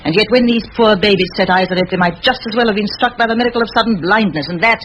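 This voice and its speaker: An actress playing a determined, bossy middle-aged spinster schoolteacher in an old radio play, forthright and given to rhetoric. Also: female voice, medium pitch, quick pace, fairly bright tone, dull audio, slight background noise, close to an everyday voice. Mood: indignant, impassioned.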